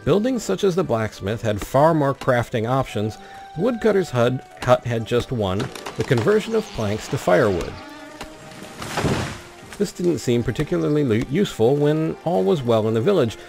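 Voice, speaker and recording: A man's voice: medium pitch, big pitch swings, normal speed, creaky, music in the background. A man hosting a gaming video, commenting on play and reading the game's story text aloud. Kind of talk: let's play commentary mixed with reading in-game narration aloud.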